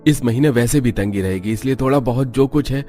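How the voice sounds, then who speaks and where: A man's voice: medium pitch, brisk, mellow, soft background music, close to an everyday voice. A man playing a worried, thrifty father short of money in a cartoon story, discouraging and resigned.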